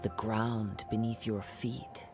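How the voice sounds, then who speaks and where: An actor playing a young wandering samurai in a video game, poetic and courteous, with formal, measured phrasing. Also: male voice, fairly low pitch, little pitch movement, soft background music. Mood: courteous, composed.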